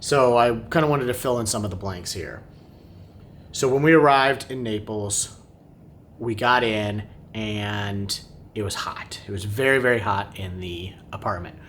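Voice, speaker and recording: Male family vlogger, unscripted and regretful, fairly deep voice, some rumble and noise behind him. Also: unhurried, fairly bright tone. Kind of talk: unscripted recap to camera. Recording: clear background noise, low rumble.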